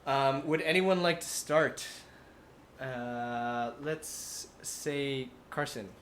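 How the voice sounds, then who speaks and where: A man's voice: mid-range voice, drawn-out words, fairly bright tone. Echoey, playful male podcast host. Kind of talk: casual chat about films. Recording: roomy echo, faint background noise.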